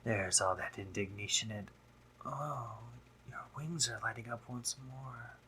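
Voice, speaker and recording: Man voicing a slow, crisp, teasing spider-monster gentleman. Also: medium pitch, slight background noise. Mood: tender, teasing. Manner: genteel, teasing, hesitant pauses, drops into whispers. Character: teasing, doting gentlemanly male spider-monster painter with eight hands. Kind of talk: scripted romantic roleplay audio drama.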